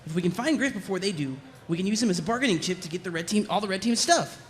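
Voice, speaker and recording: Fast, high, sarcastic soldier. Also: male voice, lively intonation, a little hiss or hum. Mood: scheming. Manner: sarcastic, needling. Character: sarcastic, mouthy young male soldier. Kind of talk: animated comedy table read.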